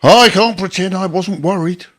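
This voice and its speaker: A man acting in a radio drama as a gruff, anxious, haunted older war veteran. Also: sits high, normal speed, fairly bright tone. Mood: relieved, warm.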